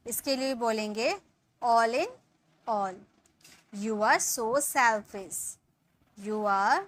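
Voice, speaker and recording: A woman in her thirties teaching spoken English, explaining in Hindi and saying the English sentences slowly, word by word, and often checking in with her viewers. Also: fairly high pitch, fairly bright tone. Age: 30s. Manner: addresses viewers as guys, checks in with "ठीक है".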